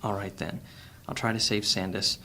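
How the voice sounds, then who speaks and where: An actor playing a guarded, cunning male thief in a fantasy audio drama, speaking in a dry, measured way. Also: fairly low pitch, little pitch movement, sharp tone, a little hiss or hum. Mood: scheming.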